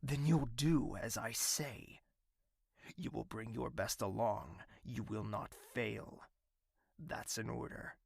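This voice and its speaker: A cold, imperious male ruler from an audio drama. His voice is gravelly and of middling pitch, recorded dry. He speaks in stern, clipped phrases with total authority. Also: fairly even intonation, takes it slowly, no room sound, full character voice. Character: cold, commanding royal ruler, unbending and imperious.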